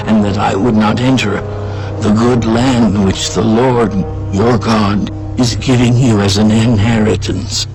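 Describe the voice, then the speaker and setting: A man playing a solemn, aged prophet exhorting his people in a grave, deliberate way, as in a dramatized scripture reading. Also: on the low side.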